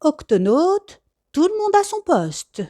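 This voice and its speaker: A commanding male captain from a children's adventure book, acted by a woman. The voice is raised high and swings with animation, very close and dry. Orders come out crisp and decisive. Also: sing-song, slow, dark tone, dry recording, heavily acted. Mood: urgent, commanding. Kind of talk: children's storybook character voice.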